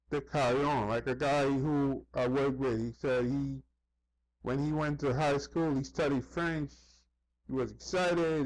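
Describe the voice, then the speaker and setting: Higher-voiced man rambling casually. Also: rough recording. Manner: conversational, repeats himself, often says "you know"; acts out other people's remarks in quotes. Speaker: vlogger. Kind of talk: casual rambling monologue with anecdotes.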